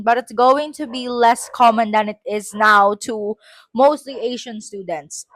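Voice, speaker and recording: A woman's voice: a little high, no echo. A woman with a Filipino accent reads her own school essay aloud, breaking off for chatty, self-correcting asides.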